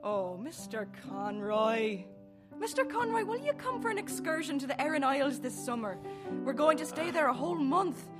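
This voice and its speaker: A fervent young woman in a period film drama. She needles a man with insistent questions. Her high voice leaps about over soft music. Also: very animated, moderate pace.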